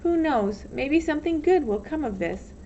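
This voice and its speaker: Storybook mom voiced by a woman reader, tender and hopeful, high-pitched, slightly dull lo-fi recording. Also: animated, moderate pace, mellow. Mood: affectionate. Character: affectionate, hopeful mother writing to her son. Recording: dull lo-fi sound, faint noise.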